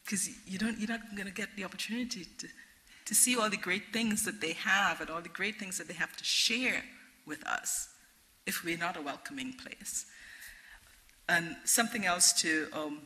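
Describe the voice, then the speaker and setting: A woman's voice: fairly low pitch, moderate pace, bright, forceful tone, rough recording. A middle-aged woman with a Trinidadian accent, speaking earnestly as a guest at a public forum and answering questions. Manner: earnest, repeats and restarts phrases. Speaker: guest speaker, interfaith director.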